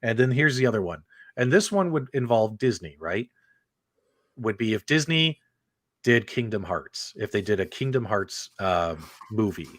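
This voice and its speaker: A man's voice: on the low side, sing-song, normal speed, a bit bright, no echo, studio quality. A man hosting a casual livestream talk show about games, speaking enthusiastically and repeating phrases for emphasis. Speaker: host of a video game talk show.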